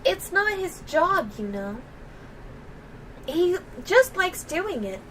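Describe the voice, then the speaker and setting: A woman's voice: very high-pitched, sing-song, slow pace, fairly bright tone, a little hiss or hum, rough recording. A woman livestreaming a game and talking through it casually.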